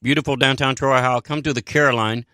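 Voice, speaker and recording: Quick-talking male studio guest, bright voice, folksy jokester. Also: medium pitch, fairly even intonation, dry recording. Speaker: studio guest, waiter and amateur comic. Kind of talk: casual radio chat. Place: radio studio. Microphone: studio microphone.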